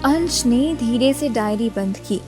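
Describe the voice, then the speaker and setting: High-voiced woman narrating an audio drama. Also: lively intonation, measured pace, over music.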